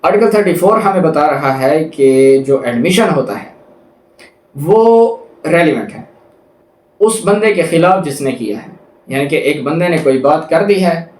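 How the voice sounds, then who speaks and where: A man with a Pakistani accent and a slightly high-pitched voice delivers a lecture in a roomy, echoing space. He is didactic, reading out formal passages and then explaining them point by point. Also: lively intonation, a little slow. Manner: didactic, explains step by step with examples. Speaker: law lecturer. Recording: echoey, roomy sound.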